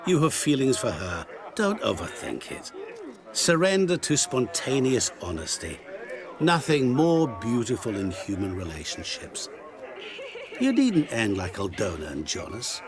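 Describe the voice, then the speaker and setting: A smug game schemer with a theatrical, eloquent delivery and lively swings in pitch. His middle-pitched voice coaxes slyly, with loud crowd chatter behind him. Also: unhurried. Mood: coaxing. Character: smooth, sly male enchanter giving worldly romantic advice. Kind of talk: video game dramatic acting.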